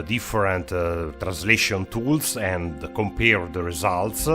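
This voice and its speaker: Male game-show host: lowish, forceful voice, emphatic stop-start phrasing, informal. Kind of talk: informal video review narration.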